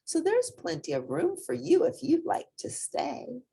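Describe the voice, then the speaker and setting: A kindly storybook hippo mum, voiced by a woman reader. She is playful and gently teasing. Her voice lilts across a very wide range of pitch. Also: huge pitch swings, measured pace, gravelly, heavily acted. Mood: fond, teasing. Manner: kind, playful. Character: kindly mother hippo, playfully pretending not to know.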